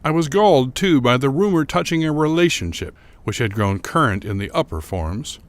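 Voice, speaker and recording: A man playing a troubled, bitter gentleman who narrates a gothic horror tale in a formal, deliberate, theatrical manner. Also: mid-range voice, expressive, no echo, natural voice. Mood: brooding, aggrieved. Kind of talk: dramatic first-person reading of gothic horror fiction.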